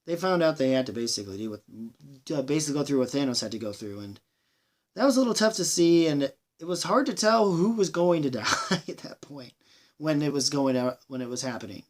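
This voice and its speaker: A man giving a casual movie review monologue, rambling and halting, with enthusiastic delivery and plenty of swearing. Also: on the high side, expressive. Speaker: film reviewer, channel host.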